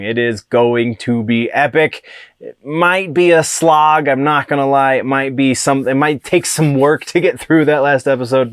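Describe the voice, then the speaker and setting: A young man from the United States hosting a casual TV and streaming news show, chatty and excitable, with plenty of restarts and fillers. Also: fairly high pitch, no room sound, clean studio sound.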